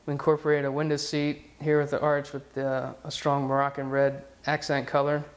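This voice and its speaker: A man's voice: on the high side, clean tone. A man in his thirties, a home designer, giving a semi-scripted tour to camera on a lapel mic, friendly and explanatory with a few fillers. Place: outdoors in front of a house, then indoors in rooms.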